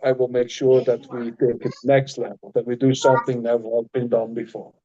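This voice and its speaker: A man with a Dutch accent, a non-native English speaker co-hosting a casual podcast, talking in halting phrases with frequent restarts. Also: medium pitch, little pitch movement, dark tone, dull audio. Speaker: podcast co-host and author.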